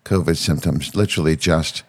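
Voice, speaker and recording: Husky, congested low-voiced man. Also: moderate pace. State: congested sore throat from a sinus cold. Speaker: podcast host. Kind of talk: casual chat with a partner.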